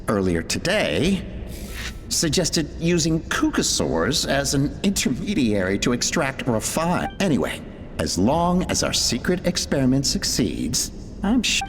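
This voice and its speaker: An actor playing an earnest, resolute researcher who dictates solemn experiment logs in a dramatic video game. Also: male voice, mid-range voice. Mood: determined, hopeful. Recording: long hall reverb, music behind.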